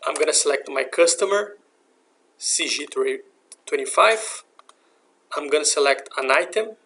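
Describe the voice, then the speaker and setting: Higher-voiced man with some creak, explaining software. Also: expressive, a little slow, slight background noise. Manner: methodical step-by-step explaining. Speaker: software instructor. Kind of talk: screencast tutorial narration. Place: voice-over for a screen recording.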